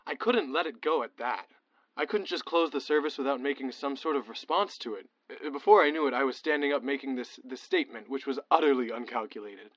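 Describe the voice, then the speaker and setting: A man, a chaplain, recounting events for an oral history in deliberate, formal phrasing. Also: mid-range voice, a bit bright, dry recording. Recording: dull archival interview tape.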